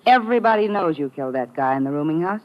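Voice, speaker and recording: A woman's voice: low-pitched, animated, fast talker. An actress playing a cynical young American woman in a 1950s radio crime drama, brassy, sarcastic and curt. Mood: scornful. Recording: muffled, noisy vintage radio recording.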